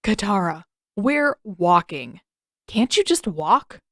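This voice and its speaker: A sarcastic teenage older brother, acted out by a woman narrator, grumbles his way through a storybook scene. His pitch jumps around in exasperated, whiny complaint. The sound is dry and close. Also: very animated, takes it slowly, fairly bright tone, no room sound, heavily acted. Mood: annoyed.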